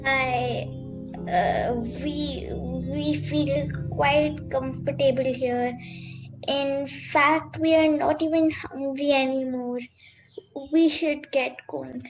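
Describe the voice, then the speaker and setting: A woman's voice: high voice, steady tone, slow pace, poor audio. A woman playing a sensible but nervous young girl in a student radio play, careful and hesitant. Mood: nervous, polite. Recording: music clearly behind the voice.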